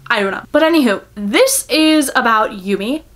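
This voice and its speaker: A woman's voice: on the high side, animated, normal speed, fairly bright tone. A young woman talking casually as a book vlogger, in a rambling, self-deprecating, giggly manner. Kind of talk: casual chatty vlog.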